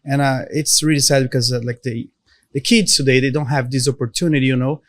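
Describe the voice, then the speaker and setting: A Brazilian man speaking English as a second language with a Portuguese accent, a podcast guest talking haltingly with many fillers. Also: medium pitch, fairly even intonation, dry recording. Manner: halting, many "uh" and "like" fillers, non-native grammar. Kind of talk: casual interview conversation.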